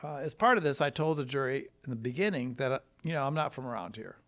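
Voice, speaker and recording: An American man from Wisconsin, a trial lawyer telling his story in a casual, rambling, folksy and halting way. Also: medium pitch, lively intonation, a bit bright. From Wisconsin, United States.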